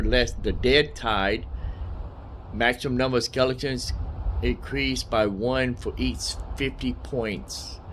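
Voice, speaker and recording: A man's voice: on the low side, slight background noise. A man giving casual gameplay commentary as a streamer, thinking aloud in a rambling way and often repeating himself. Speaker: gaming streamer.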